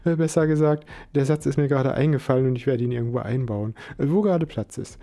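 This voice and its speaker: A man voicing a greasy, jumpy young man who talks in an excitable, stammering way. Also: mid-range voice, fast talker, close to an everyday voice.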